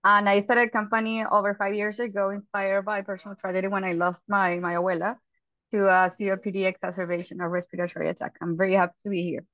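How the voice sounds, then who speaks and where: A woman in her thirties with a Colombian accent, a startup founder telling her story on a webinar panel, earnest and quick, with restarts. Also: mid-range voice, level tone, no room sound. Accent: Colombian Spanish. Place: home office, indoors. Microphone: headset. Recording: dry, lo-fi video call audio.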